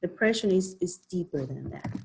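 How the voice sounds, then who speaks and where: A woman's voice: lowish voice, animated, moderate pace, gravelly, a little mellow, slight background noise, low-quality recording. A woman from the Dominican Republic, a physician, giving an informal online presentation with many ums and restarts. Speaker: physician giving a health talk.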